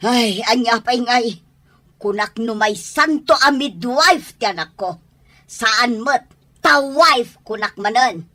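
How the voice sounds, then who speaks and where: A woman's voice: fairly high pitch, expressive, slow, pushed and bright, exaggerated voice. A woman with an Ilocano accent playing an exasperated mother who scolds and exclaims in an audio drama. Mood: angry scolding.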